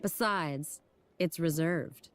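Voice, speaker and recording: A woman's voice: low voice, slow pace, smooth. An actress playing a dry, sardonic woman in a village pub in an adventure game, wry and matter-of-fact. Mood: resigned.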